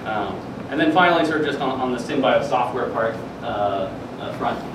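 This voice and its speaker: A young man giving an informal science news presentation in a hesitant, halting way full of filler words. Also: expressive. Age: young adult. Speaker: presenter at a student science talk. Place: classroom or seminar room, indoors. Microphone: distant camera microphone. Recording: echoey room, distant mic, air-conditioning rumble.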